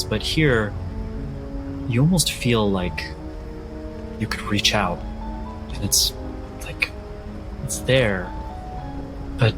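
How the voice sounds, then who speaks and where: A man narrating a tabletop roleplaying game as game master, in a measured, descriptive storytelling manner. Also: fairly low pitch, low-quality recording. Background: music.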